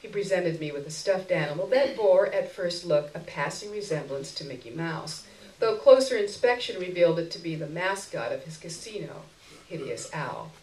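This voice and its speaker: A woman's voice: on the low side, lively intonation, normal speed, a little mellow. A woman reading a short story aloud expressively as a stage performance. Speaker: story reader. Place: roomy indoor stage event. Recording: roomy echo, recorded far from microphone.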